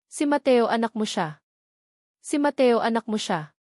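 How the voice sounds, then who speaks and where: A woman's voice: fairly high pitch, animated, normal speed, a little mellow, dry recording, natural voice. A desperate, tearful mother in an audiobook drama, acted by a woman narrator. She pleads urgently for her child, in a dry recording with little room sound.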